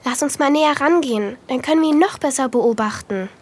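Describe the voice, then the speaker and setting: Woman playing a high-voiced, bold adventurous young girl. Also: brisk, darkish tone, close to an everyday voice. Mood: curious, teasing. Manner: brash, eager, conspiratorial. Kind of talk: children's audio drama acting.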